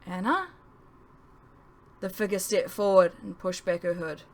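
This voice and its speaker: A woman's voice: lowish voice, fairly even intonation, normal speed, darkish tone. A woman reading her own novel aloud in a dramatic audiobook narration style.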